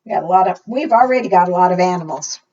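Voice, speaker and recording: A woman's voice: on the low side, animated, fast, warm tone. Distant-sounding woman reading to preschoolers. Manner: asks the young listeners questions. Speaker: children's storytime librarian. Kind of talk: expressive read-aloud storytelling to preschoolers. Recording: distant, dull lo-fi microphone sound.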